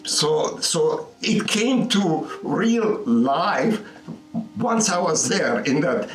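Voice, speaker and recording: Older male artist from Egypt, unhurried and halting, doubling back on his words; recorded in a roomy space with the mic at a distance. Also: fairly high pitch, sing-song. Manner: halting, repeats words, thinking aloud. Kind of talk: reflective documentary interview.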